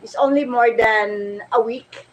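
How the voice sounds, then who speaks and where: A woman with a Filipino accent chatting casually to her viewers as a vlogger, in a halting, giggly manner. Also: a little high, highs cut off, slight background noise.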